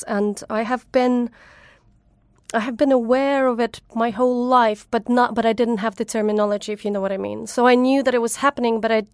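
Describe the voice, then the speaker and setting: A woman's voice: on the high side, no echo, clean studio sound. A woman from Ukraine whose first language is Russian, a chef and food writer answering interview questions earnestly and with feeling, often restarting her sentences.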